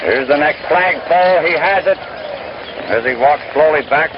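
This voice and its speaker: A man's voice: on the high side, moderate pace, crowd noise. A man calling a baseball game play by play in the style of 1930s and 1940s radio commentary. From United States. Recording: noisy, dull archival 1930s-40s radio broadcast.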